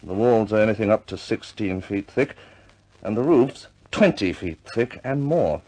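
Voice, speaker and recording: An actor playing a grave, businesslike senior British air force officer, briefing in a deliberate, measured way in 1950s war film style. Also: male voice, on the low side, expressive, mellow, rough recording.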